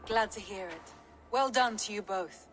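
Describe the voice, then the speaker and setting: A woman acts a composed Viking steward in a game cutscene. Her voice is mid-pitched, polite and businesslike, with faint music underneath. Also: measured pace, natural voice. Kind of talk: video game cutscene acting.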